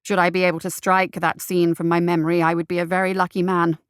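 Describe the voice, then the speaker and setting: A woman reading as a haunted private investigator writing home, formal, sombre and measured, in an audio drama. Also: fairly low pitch, flat delivery, clean tone, no echo, studio quality, lightly acted. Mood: grim, troubled. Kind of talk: audio drama letter narration.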